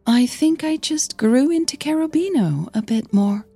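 A woman's voice: sits high, animated, normal speed, warm tone, husky, no echo, soft background music, pitched above the performer's own voice, exaggerated voice. A woman voicing an earnest, easily flustered young woman opera singer in an audio drama. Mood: flustered, awed.

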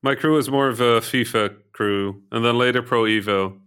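Male host, from Egypt, middle pitch, hesitant, many ums, casual chat. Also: normal speed, a bit bright, clear, resonant tone. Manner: hesitant, frequent ums and restarts. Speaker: podcast host.

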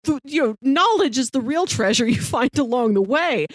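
A woman's voice: high-pitched, animated, normal speed, no room sound, studio quality. A woman co-hosting a podcast in casual film discussion, opinionated in how she talks. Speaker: podcast co-host.

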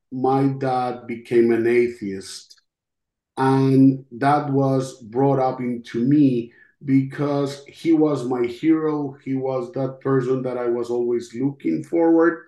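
A middle-aged man with a Costa Rican Spanish accent shares his testimony at a very unhurried pace, pausing often as he reflects. His voice is on the mellow side, and the room adds a noticeable echo. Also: medium pitch. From Costa Rica. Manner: halting, reflective, with long pauses. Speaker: interview guest, podcast host. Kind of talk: personal testimony in an interview. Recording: roomy, echoing sound.

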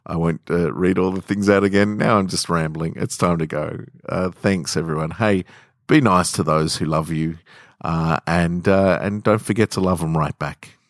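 A man's voice: low voice, moderate pace, no room sound, studio quality. An Australian man hosting a story podcast, speaking in an easygoing, self-deprecating way. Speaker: podcast host and story reader. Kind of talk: story reading and casual podcast sign-off. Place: home garage.

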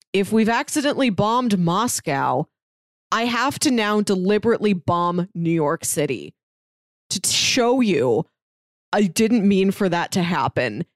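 A woman's voice: mid-range voice, no room sound, studio quality. An American woman podcast co-host retelling stories in casual, rambling and emphatic conversation. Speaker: podcast co-host discussing comics and books.

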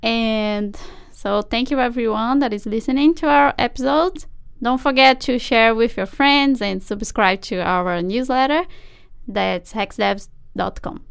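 Slow, smooth-voiced woman host. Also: fairly high pitch. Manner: soft and unhurried, many quiet mm-hmms. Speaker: podcast host. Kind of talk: casual interview hosting.

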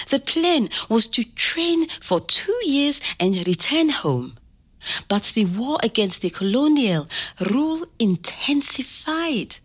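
Slow, expressive older woman storyteller. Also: fairly high pitch, husky. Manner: slow, expressive, gently questioning. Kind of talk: oral history storytelling to a child.

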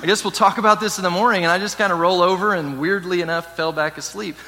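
A man preaching a sermon, telling a personal story in a casual, animated way. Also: sits high, fast, in a large hall. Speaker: pastor.

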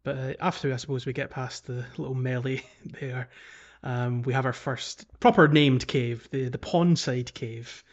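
A man with a mid-pitched voice hosts a gaming podcast in casual conversation. He talks haltingly, starting phrases over and letting some trail off. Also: fairly even intonation, lo-fi sound. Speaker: podcast host.

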